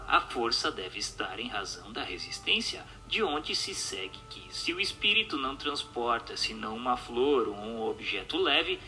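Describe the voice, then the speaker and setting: Guy reading like a dramatized audiobook, formal and declamatory, really crisp bright voice, faint music under it. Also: mid-range voice, poor audio. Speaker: narrator. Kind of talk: dramatized audiobook reading of questions, answers and notes.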